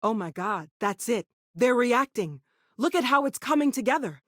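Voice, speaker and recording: A woman's voice: a little high, lively intonation, normal speed, fairly bright tone, no room sound. Brainy lady scientist for a video game, earnest n a bit anxious, dry studio sound. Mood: astonished. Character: earnest, brainy young woman scientist, eager but anxious.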